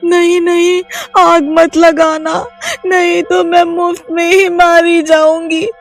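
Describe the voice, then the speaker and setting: A woman voicing a shrill, panicky village woman. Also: very animated, takes it slowly, darkish tone, faint music behind, heavily acted. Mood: pleading. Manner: shrill and wailing, easily frightened. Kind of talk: cartoon voice acting.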